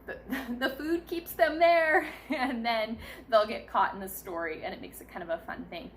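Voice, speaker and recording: Higher-pitched woman chatting over background noise. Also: moderate pace. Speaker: mother sharing parenting tips. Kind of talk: casual instructional vlog talk.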